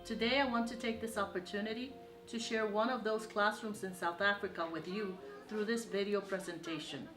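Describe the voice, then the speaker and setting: A woman professor giving a measured, formal scripted narration. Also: mid-range voice, steady tone, echoey room, music in the background. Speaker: education professor presenting.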